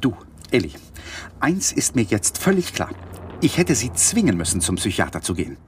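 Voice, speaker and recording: A shaken, evasive young widower in a radio crime drama. His voice is low, and he speaks in a hesitant, subdued manner. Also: huge pitch swings, moderate pace, noisy background. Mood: agitated, secretive. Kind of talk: 1980s radio play acting.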